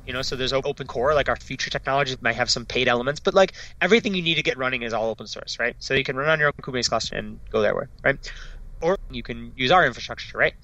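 Man, rapid enthusiastic founder, keeps saying "right?", crisp bright tone. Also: medium pitch, lo-fi sound. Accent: non-Dutch foreign accent. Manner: enthusiastic, fast, peppered with "right?" and "like". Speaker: startup founder, guest. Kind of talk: casual interview talk.

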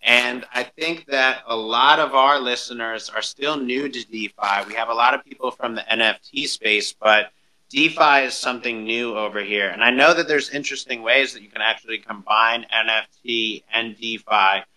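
A man's voice: mid-range voice, moderate pace, pushed and bright, low-quality recording. A man hosting a podcast, introducing and interviewing in an upbeat presenter style. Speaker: podcast host.

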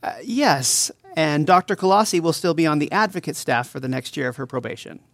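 A woman playing a gracious, modest director of a care center, speaking in a measured interview manner. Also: low voice, vocal fry, dry recording. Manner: courteous, measured. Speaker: interview guest. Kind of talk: scripted drama interview.

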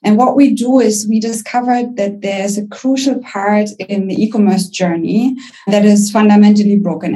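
A woman's voice: medium pitch, dark tone. A young woman with a German accent, a company founder speaking confidently as a guest on a business podcast. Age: young adult.

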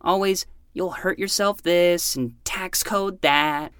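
A woman's voice: on the low side, slow, a bit bright, clean tone, no echo, studio quality. A woman playing a self-important 12-year-old boy who acts like a hardboiled private eye in a fiction podcast. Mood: earnest, insistent. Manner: melodramatic, self-important noir narration.

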